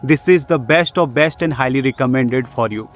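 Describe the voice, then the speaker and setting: A man's voice: medium pitch, normal speed. A young man with an Indian accent gives a casual spoken English lesson, earnestly repeating his points and often checking in with "okay?" between filler sounds. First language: Jharkhandi language. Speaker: English teacher.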